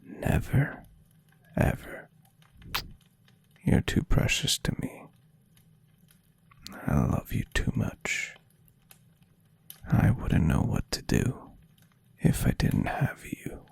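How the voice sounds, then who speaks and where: A man voicing a tender, adoring and playfully teasing centuries-old vampire boyfriend in an intimate ASMR roleplay, speaking in a soft, husky half-whisper. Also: lowish voice, very animated, slow pace, long pauses, heavily acted. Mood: devoted. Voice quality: breathy, husky half-whisper with gravelly creak. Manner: soft, soothing, affectionate murmuring.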